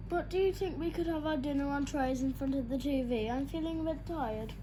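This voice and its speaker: Worn-out elephant dad, read by a woman, mild and put-upon, lightish higher pitch, background noise. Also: brisk, lightly acted. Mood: weary. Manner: weary, reluctant, mild. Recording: noisy home recording.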